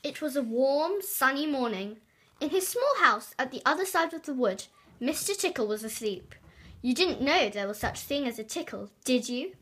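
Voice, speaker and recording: A woman reading a children's storybook aloud expressively, with dramatic, drawn-out emphasis on key words. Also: high voice, animated, fairly bright tone, slightly rough. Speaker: storybook reader.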